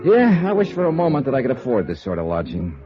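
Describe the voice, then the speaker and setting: An American actor playing a wry, brash, hard-boiled insurance investigator in a 1950s radio noir drama. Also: male voice, mid-range voice, huge pitch swings, fast, mellow. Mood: wry narrating. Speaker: lead actor of a radio detective series. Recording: muffled vintage radio recording with music.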